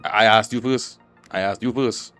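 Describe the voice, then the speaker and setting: A man's voice: lowish voice, steady tone, moderate pace, fairly bright tone. A man giving casual, slangy playthrough commentary, joking and talking back to the screen. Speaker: gaming streamer. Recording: game music behind the voice.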